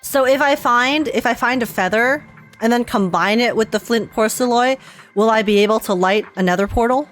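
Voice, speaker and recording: A woman streams her casual reaction to a video game. Her voice is fairly high, and her manner is excitable, playful and giggly. Faint game music runs underneath. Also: normal speed. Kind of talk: casual live gaming reaction.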